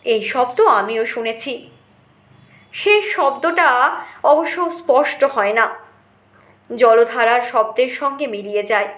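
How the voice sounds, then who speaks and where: A woman's voice: fairly high pitch, takes it slowly, pauses often, fairly bright tone, echoey room. A woman reading a short story aloud as dramatic scripted narration.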